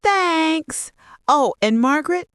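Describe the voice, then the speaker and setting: A woman's voice: fairly low pitch, huge pitch swings, a little slow, a little mellow, breathy edge, dry recording, studio quality, full character voice. A woman voicing a cheerful, smug younger daughter-in-law, gushing and oblivious, in a dramatic story. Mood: smugly sweet.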